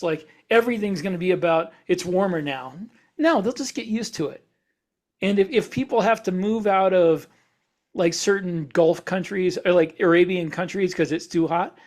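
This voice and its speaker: A man in a casual podcast discussion, speaking as an opinionated co-host, halting at times and repeating words for emphasis. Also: high voice, darkish tone.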